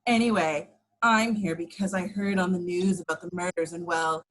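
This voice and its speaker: Woman playing a husky young goth girl. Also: lowish voice, steady tone, normal speed, lightly acted, low-quality recording. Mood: matter-of-fact. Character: matter-of-fact twenty-two-year-old goth girl. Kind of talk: amateur at-home screenplay table read.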